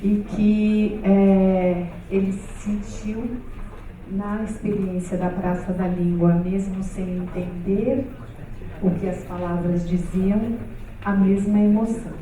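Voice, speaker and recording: A woman's voice: mid-range voice, flat delivery, slow, warm tone, a little hiss or hum. A woman moderating a seminar panel on a stage, talking casually with many fillers and restarts. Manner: hesitant, many fillers, conversational. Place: large hall with stage. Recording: echoey hall, far from mic.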